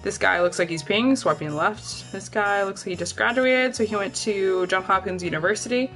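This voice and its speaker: A woman's voice: normal speed, fairly bright tone. A young woman chatting casually on a live stream, blunt and profane, rambling with lots of filler words. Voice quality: lower voice than most women. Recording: faint music behind. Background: faint music.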